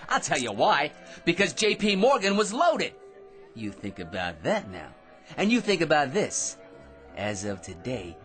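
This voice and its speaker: A boastful, excitable barfly in a vintage-style radio drama. The voice is fairly high and very animated, with a bright, forceful edge. He wheedles and brags in slangy bursts, eager to impress anyone in earshot. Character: boastful, excitable, talkative male barfly with a magic gizmo. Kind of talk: old-time radio drama acting.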